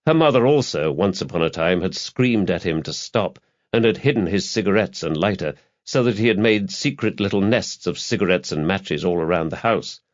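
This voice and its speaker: Male narrator for crime audiobook: lowish voice, very animated intonation, slightly muffled lo-fi recording. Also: moderate pace. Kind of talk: dramatic scripted narration of a crime novel.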